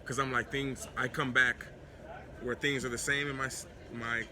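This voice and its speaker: An American man with a big, loud voice, talking freely as a guest in a casual interview on a city street. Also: medium pitch, moderate pace, noisy background. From United States. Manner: loud, animated storytelling. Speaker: guest, fellow video creator.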